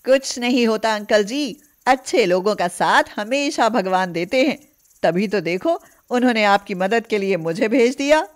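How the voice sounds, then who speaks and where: A woman voicing a feisty, outspoken young adult daughter in an animated moral story. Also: medium pitch, unhurried, lightly acted. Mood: cheerful.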